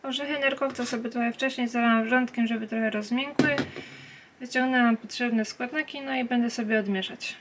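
A woman's voice: fairly high pitch, fairly even intonation, fairly quick, noisy background. A woman vlogger chatting casually and informally, speaking directly to her viewers. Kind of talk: casual vlog chat.

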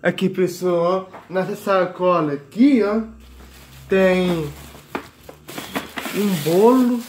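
A man's voice: high-pitched, slow pace, a little mellow. Young Brazilian man, noisy home recording. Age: late teens. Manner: repetitive, points things out with filler tags. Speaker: vlogger. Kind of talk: casual grocery haul chat. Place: home kitchen. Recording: clear noise, crinkling plastic bags. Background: plastic bags crinkling.

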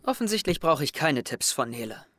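A man's voice: fairly high pitch, very animated, fairly quick, fairly bright tone, dry recording, studio quality, soft background music. A young man playing an introspective, wry teenage schoolboy who narrates his thoughts in an audio drama. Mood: wry, confiding. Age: young adult. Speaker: actor narrating as the main character. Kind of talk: audio drama inner monologue.

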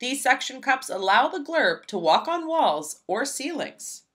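A woman reading a children's picture book aloud in an expressive, emphatic storybook style. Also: high-pitched, a little slow. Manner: animated, emphatic, storybook delivery.

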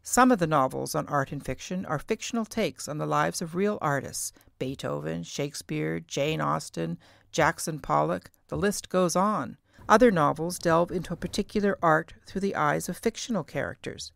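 Middle-aged woman, podcast host, scripted read, frequent vocal fry, dry close sound, careful enunciation. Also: deep voice, moderate pace, dry recording.

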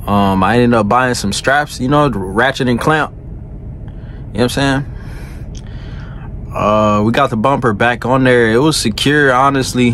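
Laid-back slow-talking trucker man. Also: medium pitch, a bit bright, a little hiss or hum. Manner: laid-back, slangy, keeps saying "you know what I'm saying". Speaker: truck driver vlogger. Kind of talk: casual late-night vlog. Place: inside a truck sleeper cab at night. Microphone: phone held close at arm's length.

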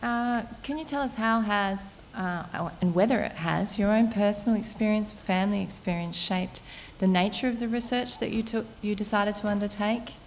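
A woman judge asks a question from the audience into a handheld mic. She speaks politely and a little hesitantly, pausing to reword herself. A faint ambient hum sits under her voice. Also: medium pitch, poor audio.

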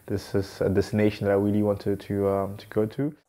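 A man of thirty-one from Brussels, speaking haltingly as a documentary interviewee. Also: on the low side, completely flat delivery, dark tone. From Brussels, Belgium. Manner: hesitant, halting. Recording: music playing behind the voice. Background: background music.

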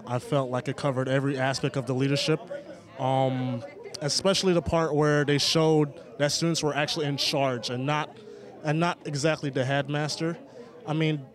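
A young man being interviewed about his time as a student leader, speaking earnestly with frequent restarts. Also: mid-range voice. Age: young adult. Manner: earnest, halting, many restarts and fillers. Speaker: former student leader, guest. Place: outdoors, city setting.

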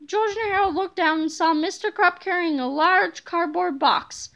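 Female storybook reader for children; very high pitch, bright, forceful tone. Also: moderate pace. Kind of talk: children's book read-aloud.

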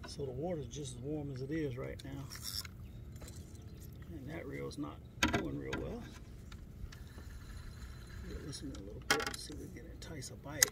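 A man's voice: medium pitch, moderate pace, vocal fry, long pauses. A middle-aged man narrating casually to viewers while fishing outdoors by a lake, recorded on a phone camera set in front of him. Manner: relaxed, talks to viewers while working the reel. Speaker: fishing vlogger. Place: outdoors on a lake, seated by the water. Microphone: phone camera set low in front. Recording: outdoor noise and ambience.

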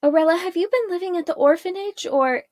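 A woman voicing an emotional long-lost mother in a storybook, gentle and earnest. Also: very high voice, a little fast, no room sound, studio quality, pitched above the performer's own voice. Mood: anxious, relieved.

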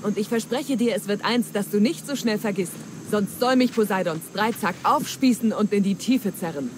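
An actress playing a wily, flattering pirate treasure hunter in a dramatic German game dub, with theatrical, coaxing delivery. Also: female voice, mid-range voice, normal speed, natural voice. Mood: persuasive, pleased. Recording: clear ambient noise.

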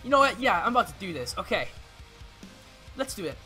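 A young man chatting casually as he plays a card-game roleplay, often repeating himself in a halting way. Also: sits high, big pitch swings, bright, forceful tone, faint music behind. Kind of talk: casual gameplay roleplay chat.

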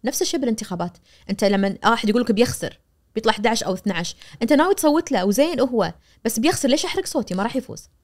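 A woman in her forties with a Kuwaiti accent, a media professor explaining things animatedly as a guest on a studio podcast, recorded on a boom mic. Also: very fast. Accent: Kuwaiti Arabic. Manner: animated, gesturing, acts out quoted voices. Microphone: boom-arm studio mic.

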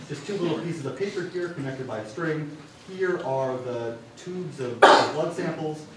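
A young man giving an informal student science presentation, with casual, wry asides. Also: mid-range voice. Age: young adult. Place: university lecture hall. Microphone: far camera. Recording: distant room mic, very echoey, clear noise.

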